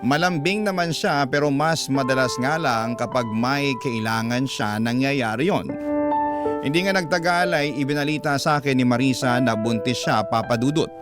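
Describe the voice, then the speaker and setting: A man's voice: medium pitch, normal speed. A man with a Filipino accent, narrating an emotional first-person story in radio drama style. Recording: music bed behind narration. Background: music.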